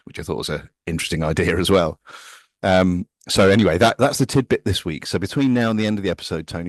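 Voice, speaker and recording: A man's voice: low-pitched, no room sound. A man co-hosting a casual music podcast, chatty and anecdotal, often stumbling over his words. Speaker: podcast co-host.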